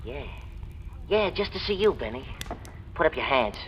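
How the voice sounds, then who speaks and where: A male actor plays a menacing escaped convict in an old radio thriller. He gloats and sneers with mock sweetness, his pitch swinging widely. The dull recording rings with long reverb. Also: sing-song, moderate pace, close to an everyday voice. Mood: taunting. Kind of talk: 1940s radio crime drama acting. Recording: echoey, muffled, noisy old radio recording.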